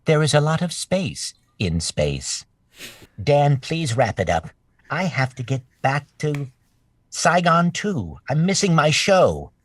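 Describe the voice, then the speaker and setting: A man's voice: on the high side, big pitch swings, takes it slowly, no room sound, full character voice. A man voicing a fussy, anxious little robot sidekick from a space video game, prim and fretful. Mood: fretful, urgent. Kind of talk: video game character acting.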